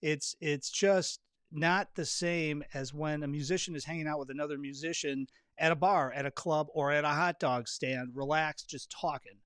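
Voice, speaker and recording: A man's voice: fairly high pitch, steady tone, normal speed, few pauses, a bit bright, clear, resonant tone, no echo, studio quality. A man hosting a casual interview chat, talking freely and repeating phrases for emphasis. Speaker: podcast host.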